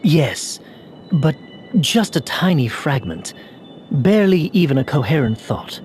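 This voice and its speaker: Troubled, halting young hero, animated pitch. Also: male voice, slight background noise. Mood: haunted. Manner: halting, hesitant, dramatic. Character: troubled young man with fragmented memories, earnest and doubting. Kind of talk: English video game voice acting.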